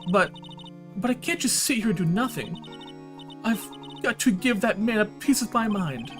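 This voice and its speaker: Man putting on an excitable high-pitched young woman. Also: measured pace, soft background music. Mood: fired up. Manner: excitable, eager. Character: earnest, spirited young woman, impulsive and loyal.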